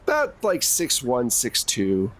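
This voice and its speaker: A man's voice: medium pitch, wildly swooping pitch, takes it slowly, fairly bright tone. A man acting as game master in a tabletop role-playing game, prompting players conversationally with questions and rulings.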